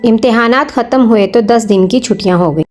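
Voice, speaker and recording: A woman's voice: fairly high pitch, a little fast, a little mellow, faint music behind. A woman narrating a scripted moral story for children.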